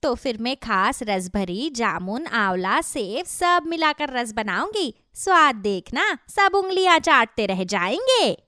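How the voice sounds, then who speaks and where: A woman's voice: on the high side, very animated, slow pace, few pauses, sharp tone, no room sound, clean studio sound, heavily acted. A woman voicing an obliging, slightly boastful female fox in a children's cartoon, eager and self-assured. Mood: eager, proud. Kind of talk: children's story cartoon dialogue.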